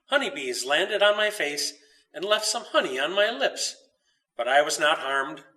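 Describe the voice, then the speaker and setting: A man voicing a kindly, grandfatherly saint who speaks from an icon in a storybook, gently explaining and reassuring. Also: high-pitched, distant mic, full character voice, rough recording. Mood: gentle teaching.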